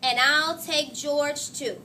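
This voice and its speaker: Woman voicing an earnest, high-pitched storybook schoolboy. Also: takes it slowly, a little hiss or hum, heavily acted. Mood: determined. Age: child. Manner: gently persuasive, earnest. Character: earnest, fair-minded schoolboy who challenges his friend. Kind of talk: storybook character read aloud.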